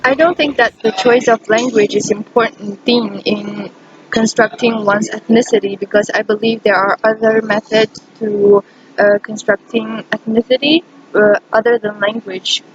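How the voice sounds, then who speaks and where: A woman from China, an international student giving measured, plain answers. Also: fairly high pitch, fairly even intonation. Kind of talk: casual spoken answers. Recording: dull lo-fi with loud background noise.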